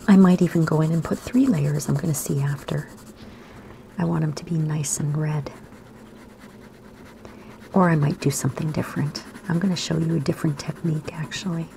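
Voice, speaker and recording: A woman, slightly hoarse today, gently talking kids through a drawing lesson in a soft, half-whispered voice. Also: deep voice, steady tone, moderate pace, long pauses, a little hiss or hum. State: raspy, slightly sore throat. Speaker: art teacher for kids. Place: home room by a window.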